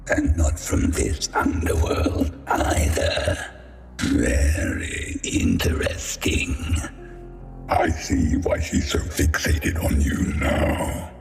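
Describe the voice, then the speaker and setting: A man playing a courtly deep-sea demon lord in a game cutscene, gravelly, wry and theatrical, with grandiose, polite phrasing. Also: takes it slowly, exaggerated voice. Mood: intrigued. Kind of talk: scripted game cutscene dialogue. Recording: echoey, music behind.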